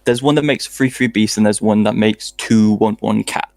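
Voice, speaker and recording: A man with a lower voice talks modestly and a bit nervously as a guest player. The recording is dry and close. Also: steady tone, moderate pace, creaky, darkish tone, no room sound. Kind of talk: casual chat.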